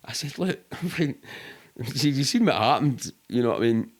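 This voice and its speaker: A man's voice: medium pitch, animated, moderate pace, gravelly. A middle-aged man with a Glasgow-area Scottish accent telling anecdotes casually as a podcast guest, sweary and colloquial, acting out what people said. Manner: sweary, colloquial, acts out quoted speech. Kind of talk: animated anecdotal storytelling, casual.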